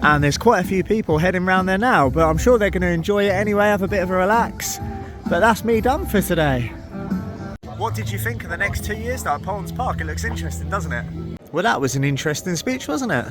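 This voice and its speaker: A man vlogging at a theme park in the evening, chatty and tired, his voice hoarse from a cold. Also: high-pitched, lively intonation, fast talker, music in the background. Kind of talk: casual vlog to camera.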